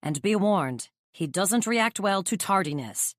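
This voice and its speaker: A woman's voice: medium pitch, expressive, unhurried, dry recording, clean studio sound, lightly acted. A woman playing an anxious, wry young assistant, narrating a first-person romance audiobook in a dramatic, self-deprecating way.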